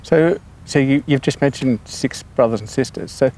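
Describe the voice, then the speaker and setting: A man's voice: mid-range voice, normal speed, a little mellow. Australian man hosting a friendly interview. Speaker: interview host. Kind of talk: casual interview.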